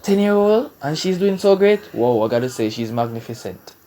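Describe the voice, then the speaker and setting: A man's voice: fairly high pitch, very animated, normal speed, mellow. A man with a Jamaican accent hosting a casual reaction video, emphatic and exclamatory, often repeating his phrases.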